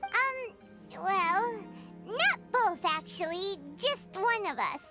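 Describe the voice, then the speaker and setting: A woman voicing a tiny bubbly fairy companion in an anime video game, squeaky and childlike, excitable and prone to exclaiming. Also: takes it slowly, full character voice. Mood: puzzled, sheepish. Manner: speaks of self in third person, exclaiming. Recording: loud music behind the voice.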